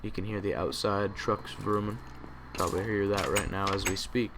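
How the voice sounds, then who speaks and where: A young man with a fairly low, tired and raspy voice rambles through casual gameplay commentary, half talking to himself. He is at his desk microphone. Typing and mouse clicks are clearly heard behind him. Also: steady tone, moderate pace, noisy background. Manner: rambling, talks to himself while playing. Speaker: gaming channel host. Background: keyboard typing and clicks.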